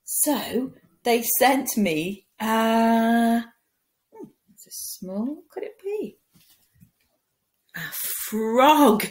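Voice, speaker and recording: Woman in her forties, children's storytime reading, very slow delivery, playful, dramatic pauses. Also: on the high side. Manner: expressive, playful, with dramatic pauses and hushed asides. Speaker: primary school teacher reading a picture book. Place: small room at home, indoors.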